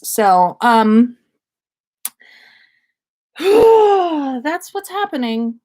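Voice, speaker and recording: A woman hosting a livestream in casual chat, rambling and effusive, with slangy talk and free swearing. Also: fairly high pitch. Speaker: podcast host.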